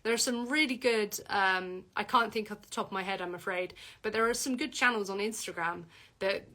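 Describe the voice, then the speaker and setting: Bright-voiced woman live streaming. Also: fairly quick. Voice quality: deep voice for a woman. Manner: reads out comments then answers, many fillers and restarts. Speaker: livestream host answering viewer questions. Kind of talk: casual livestream Q&A.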